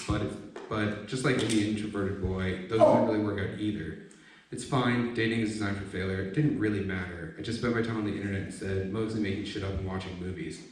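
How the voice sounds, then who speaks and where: Man, lowish voice, personal essay reading, distant mic, heavy room echo. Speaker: author reading a personal essay at a book launch. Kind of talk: reading a written personal essay aloud to an audience. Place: echoey room at a live book launch event. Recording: very echoey room, distant mic, faint noise.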